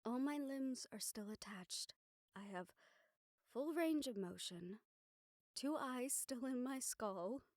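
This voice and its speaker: A woman playing a composed young noblewoman in an intimate audio roleplay, speaking gently and formally with measured pauses. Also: high-pitched, expressive, no room sound, studio quality. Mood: reassuring, dryly exasperated. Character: composed young noblewoman soothing her worried dragon bodyguard.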